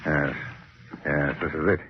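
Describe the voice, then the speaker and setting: A man's voice: extremely low pitch, steady tone, unhurried, background noise. An actor playing a startled, evasive male intruder in a 1940s radio drama. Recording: muffled old 1940s radio recording.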